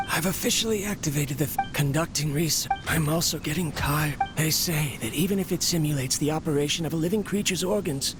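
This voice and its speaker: A man voicing a lonely, weary inventor in a video game, speaking earnestly and haltingly as if confiding in his machine. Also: fairly high pitch, natural voice. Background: game music.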